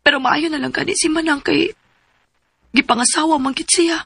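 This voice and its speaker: An actress playing an anxious, distrustful young woman in a Filipino radio drama, with a Cebuano accent and a husky, creaky voice. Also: high-pitched, fairly even intonation, normal speed, fairly bright tone, dry recording. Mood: brooding, suspicious. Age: young adult. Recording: music bed under the voice.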